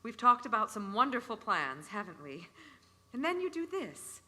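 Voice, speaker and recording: A practical, no-nonsense sweetheart in a vintage-style radio drama. Her voice is fairly high and clear-cut bright, with expressive rises and falls. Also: moderate pace. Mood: reproving, hurt. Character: practical, sensible woman reproving her idealistic sweetheart. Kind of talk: live radio play acting in 1940s style.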